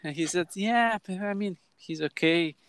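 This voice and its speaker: A man in his thirties from Croatia with a Croatian accent, hosting a casual podcast chat in halting English with frequent restarts. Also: sits high, a bit bright, clear, resonant tone. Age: 30s. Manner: halting, many restarts and fillers. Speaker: podcast host.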